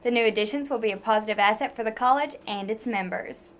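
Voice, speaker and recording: A woman's voice: fairly high pitch, fast talker. Young woman anchoring campus news. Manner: polished, even. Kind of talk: scripted campus news reading.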